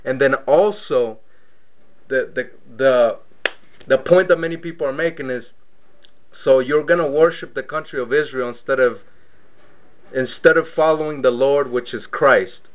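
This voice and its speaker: A man's voice: mid-range voice, moderate pace, long pauses. Rambling man on a tinny phone line. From Mexico. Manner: rambling, repeats words while searching for the point. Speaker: radio show host. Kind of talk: casual radio interview talk.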